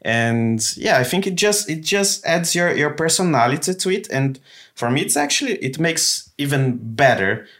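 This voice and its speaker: A man's voice: on the high side, big pitch swings, normal speed, a bit bright. Brazilian man chatting casually. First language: Portuguese. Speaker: podcast guest, comedian and actor. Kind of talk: casual conversation.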